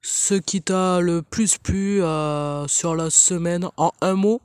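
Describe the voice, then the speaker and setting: A man's voice: high voice, fairly even intonation, slow, a little mellow, clean tone, dry recording, studio quality. A man acting as a field reporter, giving a scripted report and asking short interview questions.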